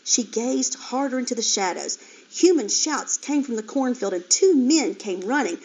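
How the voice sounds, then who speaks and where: Animated woman reading a children's book. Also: fairly high pitch, normal speed. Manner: expressive, animated. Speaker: teacher reading aloud. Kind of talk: children's book read-aloud. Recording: dull lo-fi recording.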